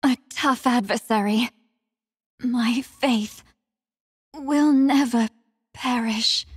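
Young female game warrior, devout, gentle, solemn, formal, highish voice, unhurried, very dry recording. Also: husky, no echo, exaggerated voice. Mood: grave, resolute. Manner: formal, measured, softly earnest. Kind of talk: anime-style game voice acting.

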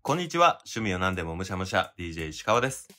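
A man's voice: deep voice, big pitch swings, very fast, over music. A man, a radio show host, talking in a chatty hosting style.

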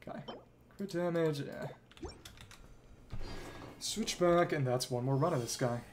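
A man's voice: a little high, measured pace, slight background noise. A young man streaming a video game, rambling casually and thinking aloud to himself as he plays. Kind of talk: casual gameplay commentary. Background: game music and effects.

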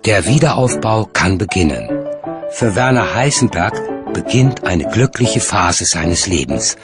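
A man narrates a documentary from a script. His voice sits on the low side, and music plays clearly behind him. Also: lively intonation, unhurried.

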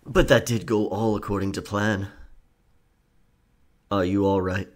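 Slow-talking roguish pirate played by a man. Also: on the low side, steady tone, natural voice. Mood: wry, pleased. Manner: theatrical pirate talk, taunting, drawn-out. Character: roguish, cocky male pirate, sly and charming. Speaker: ASMR roleplay performer. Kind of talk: pirate ASMR roleplay acting.

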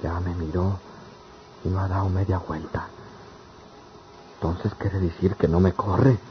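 A man's voice: sits low, fairly even intonation, unhurried. An actor playing a poor, lovelorn and anguished young country man in a radionovela, speaking plaintively in rustic speech. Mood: brooding. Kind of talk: radionovela acting. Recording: dull, noisy old radio drama recording.

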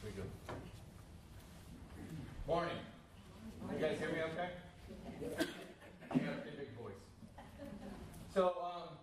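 A middle-aged man from Florida presents to a conference panel audience in a casual, joking way. His voice carries a heavy room echo and sounds distant from the mic. A faint hum of ambience sits underneath. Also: medium pitch. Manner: casual, joking, talks through slides. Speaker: county emergency management director, panelist. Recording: echoey hall, from far off.

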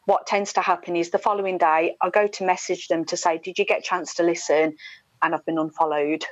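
British woman, lowish voice, chatty and emphatic, candid interview talk, dry and slightly dull video-call sound. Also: flat delivery, a little fast, no room sound. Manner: chatty, emphatic, with mimicked asides. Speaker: radio presenter, interview guest. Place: indoor room at home, video call. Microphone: wired earphone headset on video call.

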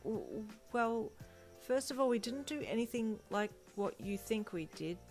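Woman playing a flustered, stammering, high-strung teenage student. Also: very slow, soft background music. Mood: flustered, defensive. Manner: stammering, excitable, evasive. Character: flustered, defensive, easily embarrassed teenage student.

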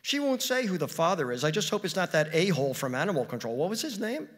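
A man reading a story, voicing a demanding, sharp-tongued wife with an emphatic, incredulous manner. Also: on the high side, sing-song, quick pace, voice pushed up. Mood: catty. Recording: reverberant hall with long echo.

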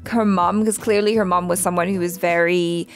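A woman's voice: fairly low pitch, fairly even intonation, normal speed, faint music behind. A woman hosting a reaction video in casual chat, informally thinking aloud as she talks.

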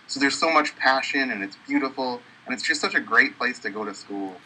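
A man's voice: mid-range voice, animated, a little fast, sharp tone, a little hiss or hum, rough recording. A man representing a university, talking eagerly with many fillers over a video call from an indoor office. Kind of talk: enthusiastic promotional talk. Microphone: webcam on video call.

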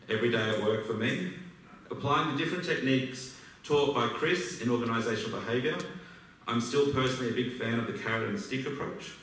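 An Australian man in his thirties delivers a formal, measured address from a podium. His voice is bright and carries through a large, heavily echoing auditorium, picked up from the back of the hall with faint ambient noise. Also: medium pitch, far from the mic. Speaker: alumni speaker. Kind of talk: prepared speech at an event. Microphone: podium mic, filmed from far back in the hall. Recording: echoey hall recorded from afar.

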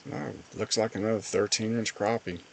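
A man's voice: lowish voice, steady tone, normal speed, gravelly, darkish tone, slight background noise. A man giving casual, plain-spoken voice-over commentary on fishing footage as host of a fishing channel.

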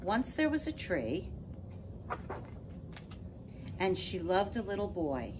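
A woman's voice: medium pitch, very animated, takes it slowly. A woman reading a children's storybook aloud in a noisy recording. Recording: clearly noisy background.

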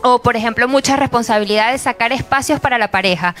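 A woman in her thirties with a Venezuelan accent, a sexologist guest giving measured, explanatory advice on a TV talk show. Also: fairly high pitch, a bit bright, faint music behind. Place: bright TV studio set. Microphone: handheld mic.

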